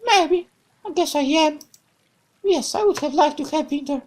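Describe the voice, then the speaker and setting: A woman's voice: high voice, normal speed, pitched above the performer's own voice, natural voice. A woman voicing a bubbly, cheerful young woman companion in a visual novel, with a raised, playful, exclamatory voice.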